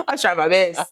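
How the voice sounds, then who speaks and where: A young adult woman co-hosting a podcast, chatting in an animated, playful, slangy way while recovering from the flu. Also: on the low side. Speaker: podcast co-host. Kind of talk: casual chat.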